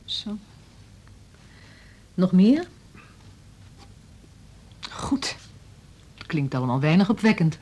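A woman's voice: fairly low pitch, very animated, extremely slow, pauses often, warm tone, slightly rough, heavily acted. Wary, dry-witted woman. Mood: sardonic. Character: wary, dry-witted female music school representative. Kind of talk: Dutch radio drama dialogue.

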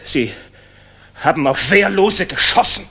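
An actor playing an idealistic, disillusioned young German officer in a 1960s radio play, earnest, halting and intense. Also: male voice, sits high, bright, forceful tone, husky, full character voice. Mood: anguished, indignant.

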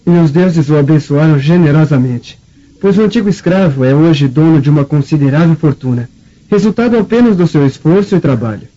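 A mellow, dutiful young Roman. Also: male voice, a little high, expressive, fairly quick. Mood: respectful. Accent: Brazilian. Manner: formal, deferential. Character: respectful, earnest grown son of a Roman patrician. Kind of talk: Brazilian radio drama acting. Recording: muffled audio with faint music.

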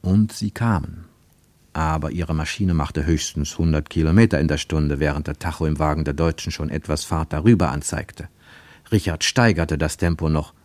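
A man narrating a radio drama in a measured, deliberate storytelling manner, recorded in a studio. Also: extremely low pitch, darkish tone, no echo. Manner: measured, deliberate storytelling with slowed emphatic phrases.

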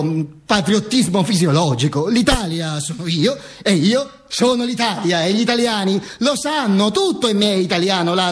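An actor playing a vain, ranting, self-mocking dead dictator in an emphatic, declamatory theatrical radio monologue. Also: male voice, high voice, a bit bright. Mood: vain, boastful. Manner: emphatic, declamatory, with insistent repetitions and interjections. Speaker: stage actor performing own monologue.

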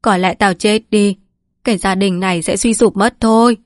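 A woman's voice: medium pitch, fairly even intonation, moderate pace, no room sound, close to an everyday voice. Storybook role: frail, dying old family patriarch read by a woman, rambling, bitter and mournful, very dry close sound. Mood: despairing. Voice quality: weak, breathy, trembling delivery. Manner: lamenting, rambling, scolding.

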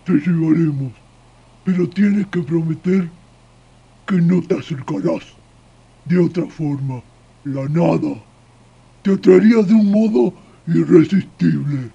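A man's voice: fairly high pitch, vocal fry, mellow, breathy edge, full character voice. A man playing a maimed, gloomy forest troll with grave delivery, in a radio drama. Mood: grim warning. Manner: slow, grave. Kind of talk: Spanish-language radio drama acting. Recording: dull, muffled sound.

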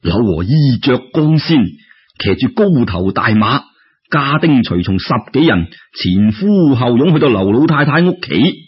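Male Cantonese storyteller, husky voice, exclamatory folksy delivery, muffled radio-like sound. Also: mid-range voice, unhurried. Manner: colloquial, expressive, exclamatory. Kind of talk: animated Cantonese folk-tale storytelling.

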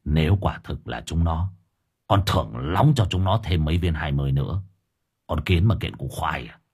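A coarse, foul-mouthed sidekick in a comic folk tale, as a man acts him out, growling low and rough. His husky voice sits well below the narrator's own and often drops to a mutter. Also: expressive, moderate pace, a little mellow, heavily acted. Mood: dismissive. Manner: gruff, coarse, grumbling. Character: gruff crude male sidekick, coarse and cursing.

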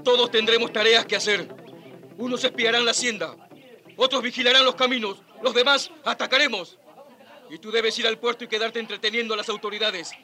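High, piercing, resolute rebel leader. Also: male voice, fairly even intonation, brisk, sharp tone, heavily acted. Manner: firm, commanding. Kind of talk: radio drama acting.

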